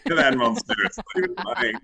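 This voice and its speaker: A man's voice: mid-range voice, wildly swooping pitch, very fast, creaky, a crowd in the background. A young man chatting as a guest, wry and self-deprecating, laughing easily. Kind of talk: casual chat.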